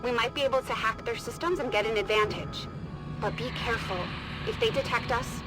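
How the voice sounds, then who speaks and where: An actress playing an alert chief engineer in a video game, giving matter-of-fact technical advice. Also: female voice, a little high, brisk, close to an everyday voice. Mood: cautioning. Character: alert female chief engineer advising during a mission. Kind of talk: video game mission dialogue. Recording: loud game music over voice.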